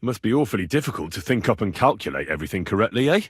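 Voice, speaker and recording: Medieval game hero: young British commoner, earnest and inquisitive, husky, animated delivery, informal and plain-spoken. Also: male voice, lowish voice, rapid-fire, no room sound, clean studio sound. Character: earnest, curious young blacksmith's son in medieval Bohemia. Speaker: video game voice actor. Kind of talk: game dialogue lines.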